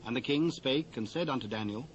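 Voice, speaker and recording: A man with a British accent narrating scripture from a script in a measured, formal manner. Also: mid-range voice, low-quality recording. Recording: faint hiss, old cassette transfer.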